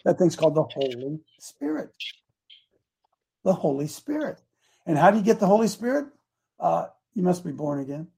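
A man's voice: a little high, sing-song, moderate pace, creaky, pauses often, dark tone, breathy edge, low-quality recording. A man in his seventies preaching a casual, folksy sermon, repeating his points with emphasis. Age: 70s.

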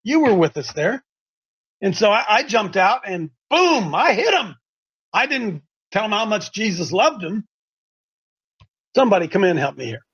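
A man's voice: sits high, very animated, normal speed, pauses often, fairly bright tone, husky, low-quality recording. A man hosting a religious talk show, preaching emphatically and repeating his points. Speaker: host and street preacher.

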